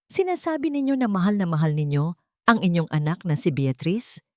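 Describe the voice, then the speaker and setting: A calm male attorney, voiced by a woman narrator questions formally and pointedly in an audiobook drama. The voice is a bit low but well inflected, recorded very dry. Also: fairly quick, dry recording. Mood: probing.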